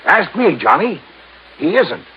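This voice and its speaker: A man's voice: high-pitched, big pitch swings, measured pace. An actor playing a jovial, talkative American insurance agent in a 1950s radio drama, folksy and chummy in his delivery. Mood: explaining briskly. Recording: muffled old radio recording, faint noise.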